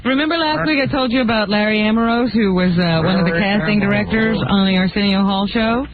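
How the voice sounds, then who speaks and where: A woman co-hosting a radio show, telling news items as casual, deliberate stories with banter. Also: medium pitch, a loud crowd. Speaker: radio news reader and co-host. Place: radio studio. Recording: lo-fi radio broadcast, loud music behind.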